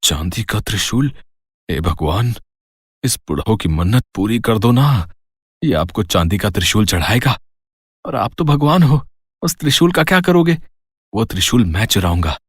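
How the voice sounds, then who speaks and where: A man's voice: lowish voice, lively intonation, normal speed, breathy edge, no room sound, clean studio sound. Man acting a sly, greedy petty thief. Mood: greedy scheming. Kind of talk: Hindi moral story cartoon acting.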